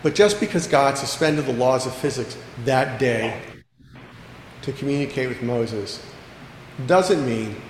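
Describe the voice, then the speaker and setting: A man preaching a sermon as pastor, earnest, slowing down to stress key phrases and repeating lines for emphasis. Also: mid-range voice, animated. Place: church sanctuary. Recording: echoey church hall with long reverb, faint background noise.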